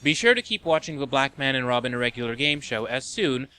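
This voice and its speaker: A man's voice: medium pitch, animated, brisk, bright, forceful tone, clean tone, faint music behind. A man hosting a video game and movie show, reading scripted list narration with a wry, tongue-in-cheek manner.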